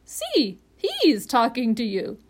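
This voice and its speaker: Woman as proud female parrot, high-pitched, opinionated, instructive, children's storybook. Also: huge pitch swings, slow, exaggerated voice. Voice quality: high-voiced. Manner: emphatic, instructive.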